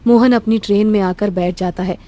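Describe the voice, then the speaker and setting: A woman's voice: mid-range voice, steady tone, fairly quick, dark tone. A woman storyteller reading scripted narration for a cartoon tale. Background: faint music.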